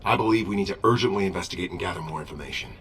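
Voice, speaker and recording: An actor playing a loyal, earnest military adjutant in a video game, speaking formally and respectfully. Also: male voice, sits low, racing, fairly bright tone, far from the mic, slight background noise. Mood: formal, dutiful. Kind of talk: scripted game dialogue.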